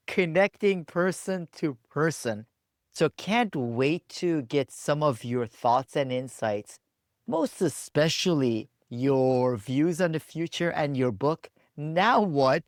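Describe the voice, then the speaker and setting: A man hosting a leadership podcast, asking questions in a slow, deliberate way. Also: fairly high pitch, no echo, studio quality. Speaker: podcast host. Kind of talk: interview hosting.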